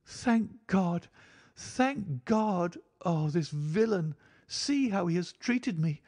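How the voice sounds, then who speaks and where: A man's voice: high-pitched, expressive, takes it slowly, a little mellow, voice pushed up, heavily acted. A man voicing an anguished, bitter Victorian wife in an audiobook, with impassioned, formal phrasing. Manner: impassioned, exclamatory, formal old-fashioned phrasing. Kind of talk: dramatic audiobook character acting.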